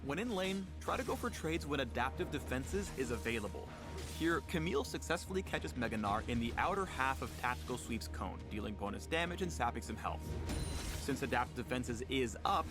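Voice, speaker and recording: A man's voice: medium pitch, lively intonation, a little fast. A man narrating a scripted gameplay spotlight with a playful, punning presenter delivery. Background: music.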